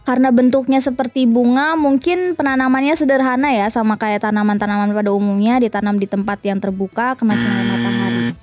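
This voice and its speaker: A woman's voice: on the high side, a little fast, a little mellow, soft background music. A woman from East Java guessing in a casual interview, sounding unsure.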